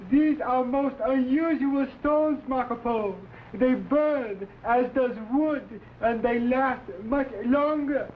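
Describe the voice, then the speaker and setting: Sly, obsequious innkeeper, stage Chinese accent, unhurried, thin vintage broadcast sound. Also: male voice, very high voice. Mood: humble, probing. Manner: obsequious, stilted broken English. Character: fawning, sly Chinese innkeeper, exaggeratedly humble poisoner. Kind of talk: 1950s television adventure serial acting. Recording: thin phone-like old broadcast, clearly noisy.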